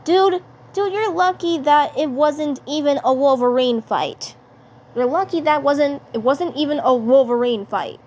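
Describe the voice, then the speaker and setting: A woman's voice: high-pitched, a little slow, a little mellow, pitched above the performer's own voice. A woman playing a blunt, protective cartoon schoolboy with slangy, emphatic delivery in a fan dub. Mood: annoyed, defensive.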